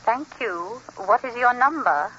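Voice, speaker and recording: Sharp, clipped telephone operator. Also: female voice, fairly high pitch, lively intonation, a little slow, breathy edge, exaggerated voice. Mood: impersonal, businesslike. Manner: formal, clipped, singsong procedural delivery. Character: brisk, impersonal female long-distance telephone operator. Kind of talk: 1940s radio drama acting. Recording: muffled, noisy old radio recording.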